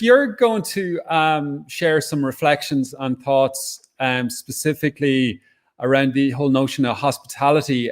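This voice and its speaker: Guy hosting, soft-spoken and reflective, medium voice. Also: medium pitch. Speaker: host. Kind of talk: hosting an online event.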